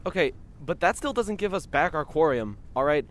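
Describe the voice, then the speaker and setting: An actor playing an excitable, loyal young male journalist, melodramatic but casual, in an audio drama. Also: medium pitch, brisk, close to an everyday voice. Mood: bold threatening.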